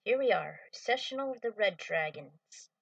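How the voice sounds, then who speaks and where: A woman casually introducing her own fan fiction in a halting, phrase-by-phrase way. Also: medium pitch, lively intonation, vocal fry, no echo, distant mic, lo-fi sound.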